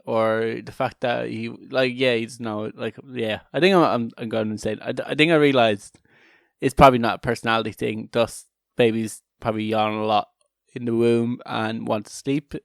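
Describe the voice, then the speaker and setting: A man's voice: mid-range voice, creaky, dry recording, studio quality. A man co-hosting a casual comedic podcast, talking in a rambling, irreverent way with many restarted sentences. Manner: joking, irreverent. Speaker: podcast co-host.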